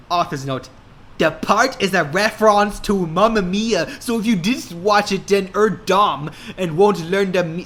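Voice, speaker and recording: High-voiced man reading fan fiction. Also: fairly bright tone. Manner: deliberate, reads errors as written. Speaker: narrator.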